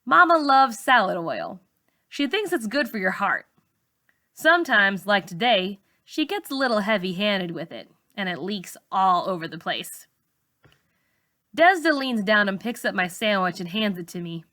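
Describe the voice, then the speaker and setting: Narrator: woman, teacher-style read-aloud of a novel, expressive, with a crisp bright edge and medium pitch. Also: a little slow.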